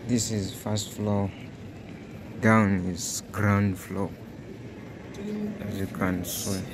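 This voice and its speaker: A man with a lowish voice talks slowly and deliberately as he walks through a big indoor mall, filming on his phone. He names shop brands one by one in an unhurried way. Clear mall ambience hums behind him. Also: level tone, background noise. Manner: slow, naming brands one by one. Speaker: vlogger. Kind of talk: walking tour vlog narration. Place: large indoor shopping mall.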